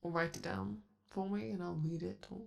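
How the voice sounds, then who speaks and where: A man's voice: high-pitched, fairly even intonation, moderate pace, creaky. A man voicing a flamboyant, doting male robot star in a romantic ASMR roleplay, theatrical and coaxing, calling the listener darling. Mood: coaxing, flirty.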